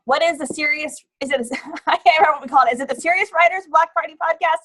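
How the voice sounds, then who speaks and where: A woman's voice: sits high, fast talker, fairly bright tone. A woman moderating a casual panel chat, steering the talk between guests. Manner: chatty, steers the discussion.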